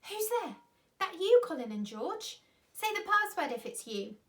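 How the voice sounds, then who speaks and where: A woman's voice: extremely high pitch, big pitch swings, normal speed, a bit bright, voice pushed up, full character voice. A woman voicing an eager, anxious young schoolboy from a children's storybook, speaking urgently. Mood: excited calling.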